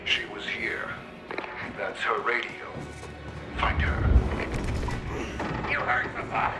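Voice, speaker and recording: An actor playing a gruff, commanding armed man in a video game cutscene, curt in delivery. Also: mid-range voice, wildly swooping pitch, slow. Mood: commanding, alert. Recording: echoey, distant, very loud music.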